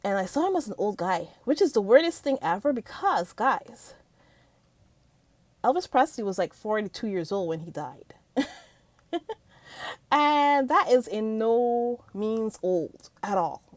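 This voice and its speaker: A middle-aged woman from Barbados, chatting excitedly in a movie review vlog, laughing often. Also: on the high side, unhurried, long pauses, no echo. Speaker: vlogger. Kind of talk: casual movie review chat.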